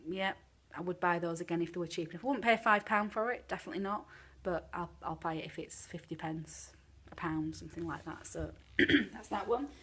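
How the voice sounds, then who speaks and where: A woman's voice: lowish voice, brisk, hardly any pauses, a bit bright, breathy edge. Chatty British woman, slightly chesty. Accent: British English. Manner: chatty, frank, many ums. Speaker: candle reviewer. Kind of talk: casual product review. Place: home room with candles burning.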